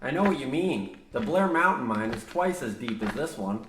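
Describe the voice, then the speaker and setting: An echoey recording with faint noise carries a man playing a young, businesslike mine surveyor of medium pitch. He is polite and dry, the matter-of-fact straight man of a radio comedy. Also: expressive, moderate pace, lightly acted. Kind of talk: parody of old radio drama acting. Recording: roomy echo, faint noise.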